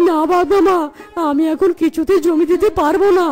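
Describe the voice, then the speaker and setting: A woman's voice: very high voice, fairly even intonation, normal speed, darkish tone, soft background music. A woman voicing a naive, henpecked male animal debtor in a comedy cartoon, pleading.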